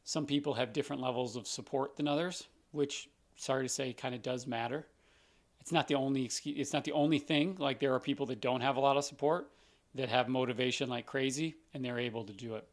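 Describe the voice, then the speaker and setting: A man's voice: mid-range voice, steady tone, fairly quick. Man with a scratchy voice, chatty. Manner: conversational, explains with everyday examples, many "like" fillers. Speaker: doctor hosting a live Q&A. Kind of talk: live-stream Q&A talk.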